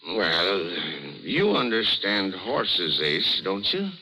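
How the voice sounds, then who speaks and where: Bright, forceful, halting old-time radio father. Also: on the low side, very animated, exaggerated voice, rough recording. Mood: sheepish, rueful. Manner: halting, pleading, stammering. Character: weary, remorseful alcoholic father, failing his daughter. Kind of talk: 1930s radio drama acting. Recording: vintage radio recording, faint music.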